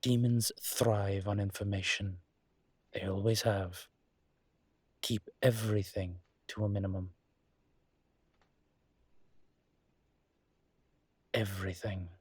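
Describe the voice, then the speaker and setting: A refined and wary ancient demon professor, acted by a man, speaks slowly and close in a very dry recording. The voice is husky, with formal, wry phrasing and halting pauses, in the style of an intimate audio roleplay. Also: fairly low pitch, little pitch movement, no echo. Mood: firm warning.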